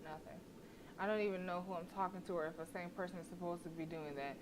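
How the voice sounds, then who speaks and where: A woman's voice: fairly low pitch, steady tone, fast talker. A woman livestreaming a horror visual novel, reading the game text aloud casually, stumbling and correcting herself as she goes. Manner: casual, stumbles over words and self-corrects. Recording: noisy livestream microphone.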